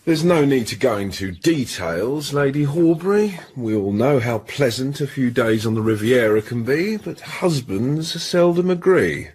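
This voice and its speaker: An actor playing an English gentleman posing as a blackmailer in a radio drama, with a British accent and polite, insinuating speech. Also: medium pitch, expressive, measured pace, few pauses, breathy edge. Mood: smug, menacing.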